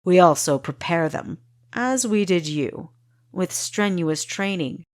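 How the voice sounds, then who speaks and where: Woman putting on a deep gritty male spymaster, slow-spoken. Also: lively intonation. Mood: smug, evasive. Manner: amused, smoothly evasive. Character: male spy leader, twinkle-eyed, deep gritty, evasive.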